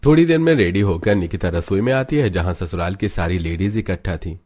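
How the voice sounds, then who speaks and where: A man's voice: deep voice, animated, fairly quick, darkish tone, faint music behind. A man giving a scripted narration for a cartoon family story.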